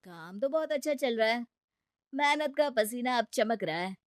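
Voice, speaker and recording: A woman's voice: a little high, expressive, normal speed, dry recording, clean studio sound, lightly acted. A woman voicing a cheerful, proud young man, a successful brother-in-law, in a playful cartoon-story manner.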